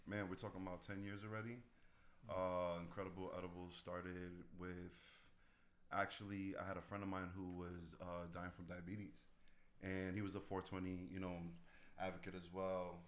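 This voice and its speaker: A man with a very low voice, booming enough that it pops in the room. He talks in laid-back slang and gets animated as he tells a story. He is a guest on a casual podcast. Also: normal speed. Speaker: guest, edibles business owner.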